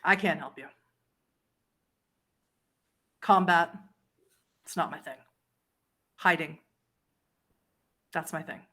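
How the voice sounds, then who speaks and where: A woman plays an old female vampire informant in a tabletop roleplay. Her voice sits a little low and sounds weary and guarded. She speaks in short, measured statements with dramatic pauses. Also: pushed and bright, exaggerated voice. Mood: guarded, ominous. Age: older.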